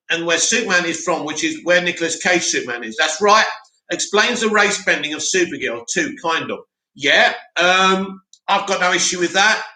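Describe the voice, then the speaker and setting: A man hosting a live stream, reading out viewer comments and answering them in a loud, opinionated way with lots of restarts. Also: high-pitched. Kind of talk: casual live chat. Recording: echoey room.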